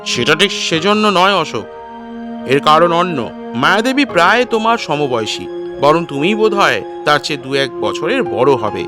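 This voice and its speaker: A man plays a calm, reasonable detective in an audio story, reflective and reassuring. The voice sits fairly high and moves expressively over background music. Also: moderate pace. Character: calm, reasonable male detective, older friend. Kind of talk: audio story character dialogue.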